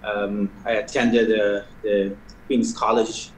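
A middle-aged man from Guyana, a prison chaplain, answering questions in a measured way with hesitations over a video call. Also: fairly low pitch, little pitch movement, slight background noise. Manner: measured, soft-spoken with hesitations. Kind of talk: interview answers. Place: video call from an indoor hall. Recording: echoey video call audio.

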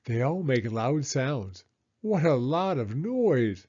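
An older man reading a children's story aloud as a storyteller, with expressive, deliberate phrasing for young listeners. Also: medium pitch, dull audio. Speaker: storyteller, elementary school teacher.

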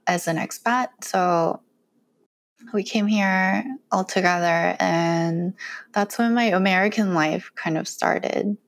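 A young woman from Korea talking casually in an interview, chatty and laughing often, on a close mic at home. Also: fairly low pitch. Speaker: guest, cooking content creator. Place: small bright room at home, indoors. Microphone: close studio mic with earphones.

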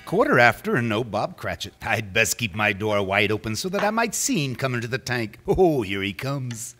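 An actor playing an old miser turned gleeful and generous, in theatrical, exclamatory radio drama style. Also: male voice, medium pitch, big pitch swings, normal speed, pushed and bright, faint music behind. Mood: mock-stern. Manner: theatrical, exclamatory, mock-stern.

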